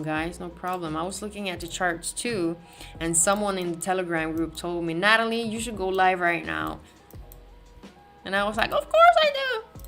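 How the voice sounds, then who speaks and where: A woman's voice: lowish voice, fairly bright tone. A woman from Sweden with a Swedish accent, hosting a live crypto market stream, talking casually with many restarts and fillers as she reads the chat. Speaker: crypto livestream host.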